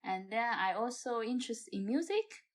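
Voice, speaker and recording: A woman's voice: a little high, expressive, normal speed. Young woman with a Chinese accent. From China. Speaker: podcast guest, amateur theatre member. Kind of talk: casual chat.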